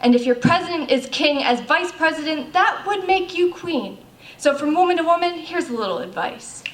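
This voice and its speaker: A woman's voice: sits high, lively intonation, normal speed, fairly bright tone. A woman performing slam poetry on stage with a pointed, sarcastic delivery. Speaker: slam poet. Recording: echoey venue, faint noise.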